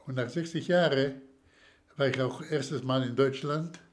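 Older man, halting testimony, very slow, frequent restarts. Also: mid-range voice. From Slovakia. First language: German as childhood language, long unused. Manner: hesitant, many pauses and restarts, non-native grammar. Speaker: interviewee, survivor giving testimony.

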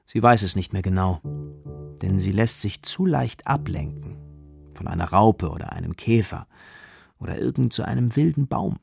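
A man narrating a children's radio play as a scripted story, with a gravelly voice. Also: on the low side, expressive, normal speed, darkish tone. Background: music, plucked guitar.

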